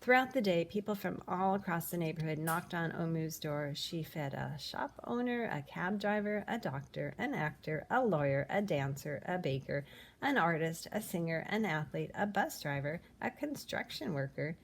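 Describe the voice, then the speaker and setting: Female picture-book narrator, slightly low register, expressive delivery with dramatic emphasis. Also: normal speed, gravelly, slight background noise. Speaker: storybook reader. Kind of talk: expressive read-aloud of a children's picture book.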